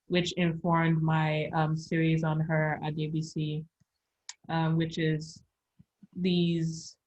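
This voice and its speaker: A young woman from Nigeria, an artist speaking reflectively in an interview, pausing often to search for words. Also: sits low, highs cut off. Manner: thoughtful, searching, with pauses and fillers. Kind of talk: reflective conversation.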